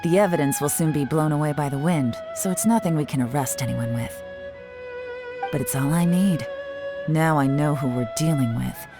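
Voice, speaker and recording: A cool, sly intelligence agent. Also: female voice, low-pitched, music in the background. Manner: calm, measured, quietly confident. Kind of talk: video game voice acting.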